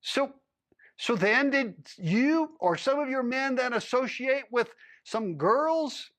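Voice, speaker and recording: A man's voice: extremely high pitch, animated, slow, fairly bright tone, voice pushed up, exaggerated voice. A man voicing a fussy, prying male town official who questions people indignantly.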